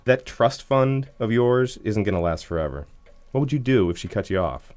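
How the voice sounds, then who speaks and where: An actor playing a cautious, put-upon son of a rich family in an audio drama, placating and exasperated in manner. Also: male voice, medium pitch, lively intonation, moderate pace, a little mellow, natural voice.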